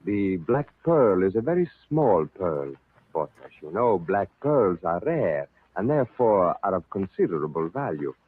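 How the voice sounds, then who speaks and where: A man playing a suave, menacing Egyptian high priest in a vintage radio serial, speaking formally and deliberately with a put-on foreign accent. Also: sing-song, slightly rough, exaggerated voice. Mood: suave, explanatory. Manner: formal, courteous, deliberate, theatrical. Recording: tinny, band-limited old radio recording.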